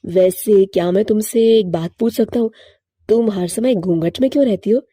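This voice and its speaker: Woman voicing an earnest lovestruck male crow, mellow. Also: mid-range voice, moderate pace, slightly rough, no echo, clean studio sound, lightly acted. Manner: earnest, pleading dialogue.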